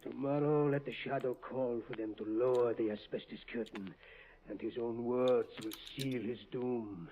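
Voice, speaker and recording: An actor playing a tormented opera tenor whose voice broke, overwrought and dramatic. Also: male voice, medium pitch, steady tone, moderate pace, lightly acted. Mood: menacing. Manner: overwrought, pleading, then sinister. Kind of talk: 1940s radio acting. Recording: dull old radio recording.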